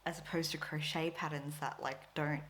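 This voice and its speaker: A woman's voice: sits low, level tone, moderate pace, a bit bright, a little hiss or hum. A woman, a Tagalog speaker, chatting casually to camera as a crafting vlogger, rambling with lots of fillers and frequent laughs. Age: 20s.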